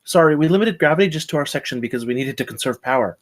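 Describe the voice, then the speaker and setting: A man's voice: a little high, animated, racing, natural voice. A man reading the part of a talkative, nervous stranded scientist at a screenplay table read. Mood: apologetic.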